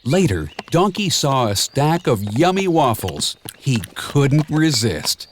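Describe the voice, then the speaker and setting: A man reading a children's storybook aloud in a measured, expressive storytelling manner, with clear and simple phrasing. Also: medium pitch, slight background noise. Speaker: storybook narrator. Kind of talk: scripted children's read-along storybook narration.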